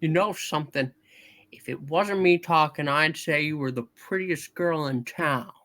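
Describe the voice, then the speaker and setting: A man's voice: on the high side, unhurried, a bit bright. A man playing an earnest, restless, dreamy young small-town man in a hammed-up radio play reading, eager and theatrical. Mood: giddy, boyish.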